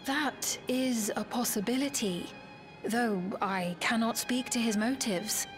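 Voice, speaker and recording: A gentle young royal for a video game drama. She speaks slowly and formally in a fairly high voice, earnest and devoted to her people, with music underneath. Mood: solemn resolve. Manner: formal, measured, solemn.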